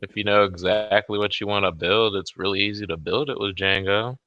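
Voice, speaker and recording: A man's voice: on the low side, a little fast, sharp tone, dry recording, dull audio. A man in a casual chat, explaining things offhandedly, self-deprecating and swearing casually. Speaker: guest, software developer.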